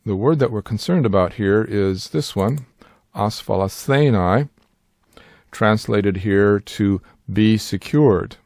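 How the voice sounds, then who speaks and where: An older man with a lowish voice reads a scripted Bible-study lesson in a calm, measured way. He slows right down to stress each key phrase. The sound is close and dry, with no room around it. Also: no room sound. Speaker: Bible teacher and sign language interpreter. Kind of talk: scripted instructional narration.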